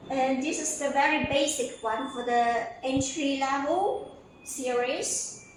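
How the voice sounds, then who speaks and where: A high-pitched woman presents products on a showroom tour in simple, halting phrases, repeating herself as she goes. She sounds away from the mic in a very echoey room. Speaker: company sales representative giving a showroom tour. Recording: echoey room, distant mic, faint noise.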